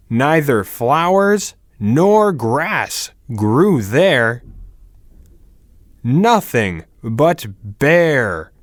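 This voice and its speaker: Male voice, extremely slow pace, careful enunciation, animated intonation, scripted storybook and vocabulary reading. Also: medium pitch, dry recording, studio quality. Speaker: English narrator reading vocabulary and story text. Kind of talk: slow scripted narration of a fairy tale and word list.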